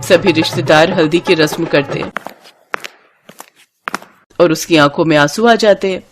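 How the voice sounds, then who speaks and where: A woman's voice: deep voice, unhurried, music in the background. A woman narrating a cartoon story in a dramatic storytelling manner.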